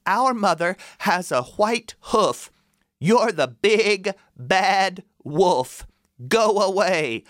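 Man doing goat kids, high, shrill, defiant, storybook. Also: animated, very slow, fairly bright tone, no echo, studio quality, heavily acted.

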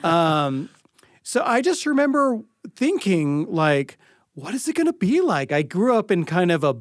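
Higher-voiced man reminiscing casually. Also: sing-song, measured pace, dry recording, clean studio sound. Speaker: radio producer and guest. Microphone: close studio mic.

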